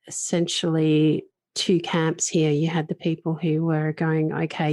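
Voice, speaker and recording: A woman's voice: low-pitched, dark tone, no echo. A woman hosting a podcast, talking earnestly and reflectively about her own experiences. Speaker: podcast host.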